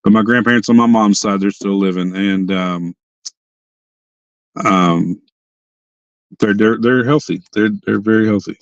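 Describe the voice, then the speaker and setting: Hoarse young man chatting from a car. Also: lowish voice, fairly even intonation, unhurried, long pauses, no echo, dull audio. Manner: rambling, restarts often. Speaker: livestream host. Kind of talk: casual livestream chat. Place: sitting in a parked car.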